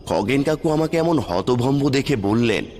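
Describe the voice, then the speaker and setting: A man's voice: medium pitch, animated, normal speed, a little mellow, slight background noise. A man narrating a scripted ghost story in the first person, in a dramatic style, with reverb on the recording. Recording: long hall-like reverb.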